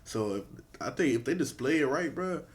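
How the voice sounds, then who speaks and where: A man's voice: mid-range voice, expressive, normal speed. A man hosting a reaction video, talking in a laid-back, slangy way with a stuffy, congested voice from a cold. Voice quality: stuffy nasal. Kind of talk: casual reaction commentary.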